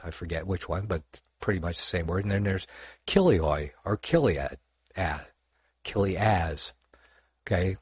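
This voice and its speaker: Low husky older man teaching. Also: dry recording, studio quality. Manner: rambling, self-correcting, lots of fillers. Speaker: online Bible teacher. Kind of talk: casual livestream teaching.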